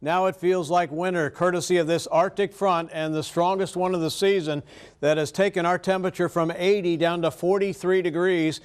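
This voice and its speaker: American man, TV weather forecaster, fairly high smooth voice, live forecast delivery. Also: fairly even intonation, normal speed.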